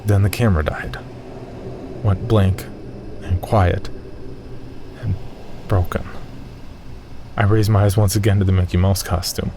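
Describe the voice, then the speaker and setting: Low-voiced male horror narrator, noisy background. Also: level tone, unhurried, long pauses. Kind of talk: first-person scripted horror narration.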